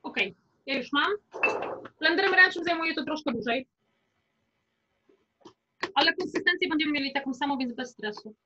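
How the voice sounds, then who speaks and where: A young woman speaks in a very high, bright voice. She is informal and chatty as she instructs a live cooking class from her home kitchen, encouraging people along as she goes. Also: expressive, moderate pace, long pauses, highs cut off. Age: young adult. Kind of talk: casual live cooking workshop.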